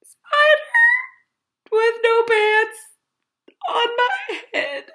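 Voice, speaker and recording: A woman performs an excitable, panic-prone animal from a children's book. The voice leaps very high and comes out in breathless, forceful exclamations. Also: very slow, heavily acted. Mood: freaked out. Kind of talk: children's storybook reading.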